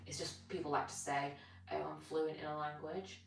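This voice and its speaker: A woman's voice: deep voice, little pitch movement, normal speed, vocal fry, slight background noise. A British woman whose first tongue was Gujarati, chatting in a rambling, informal way with live viewers as a fitness streamer while stretching. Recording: roomy, distant mic with music behind. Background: music.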